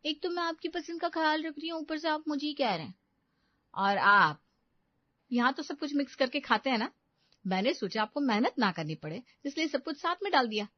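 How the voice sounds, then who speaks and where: A woman's voice: high-pitched, lively intonation, brisk, no echo, highs cut off, voice pushed up. A woman voicing a cheeky young daughter-in-law who justifies herself huffily in a cartoon family comedy. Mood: defensive. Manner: self-justifying, huffy.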